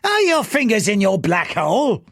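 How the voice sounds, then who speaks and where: A man's voice: high voice, wildly swooping pitch, moderate pace, creaky, fairly bright tone, dry recording, studio quality, voice pushed up, exaggerated voice. A male audiobook reader voicing a rude, impatient racetrack bettor who curses coarsely. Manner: coarse, cursing.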